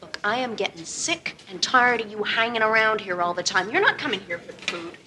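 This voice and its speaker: Casting: no-nonsense, fed-up diner worker, TV drama; sharp, forceful, medium pitch. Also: female voice, expressive, brisk. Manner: blunt, forceful. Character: blunt, fed-up diner worker chasing off a customer. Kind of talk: naturalistic television drama dialogue.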